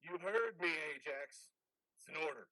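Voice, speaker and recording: Man playing a domineering, animated military officer. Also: on the high side, moderate pace, dry recording, poor audio. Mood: stern. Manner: stern, domineering, manipulative. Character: commanding ghost of a dead male army officer. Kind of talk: dramatic play reading.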